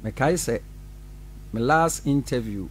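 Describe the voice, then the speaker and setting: Animated middle-aged pastor in a studio. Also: male voice, mid-range voice, unhurried, slight background noise. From Ghana. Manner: animated, gestures while talking, laughs often. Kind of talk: radio studio interview. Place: small radio studio. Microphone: studio mic on boom arm.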